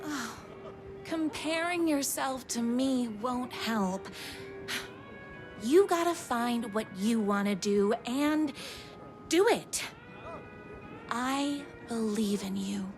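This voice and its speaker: A bubbly waitress superfan from a comic video game, high-voiced and unhurried. She gushes dreamily and is thoroughly pleased with herself, with music playing behind her. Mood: chirpy, self-satisfied. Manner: gushing, dreamy, self-satisfied. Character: bubbly, self-adoring waitress and obsessed superfan, woman. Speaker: narrator and lead character. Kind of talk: comic scripted narration and game dialogue.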